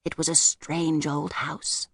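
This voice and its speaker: A woman playing a wistful older lady, low and creaky. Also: flat delivery, slow pace, no room sound, heavily acted. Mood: reminiscing. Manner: hesitant, gentle, reflective. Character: reserved, wistful older woman recalling her childhood. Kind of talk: audiobook character voice.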